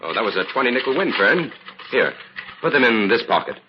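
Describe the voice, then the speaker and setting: An actor playing a calm, shrewd crime investigator in an old-time radio drama, explaining things crisply and confidently. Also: male voice, mid-range voice, fairly quick, lightly acted. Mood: brisk, assured. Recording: muffled, hissy old radio recording.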